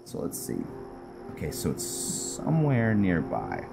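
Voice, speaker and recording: A man's voice: low voice, wildly swooping pitch, measured pace, gravelly. A man doing casual game commentary, thinking aloud to himself in short steps as he plays through a roleplay. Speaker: gamer hosting a roleplay playthrough. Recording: loud music over the voice. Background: loud game music.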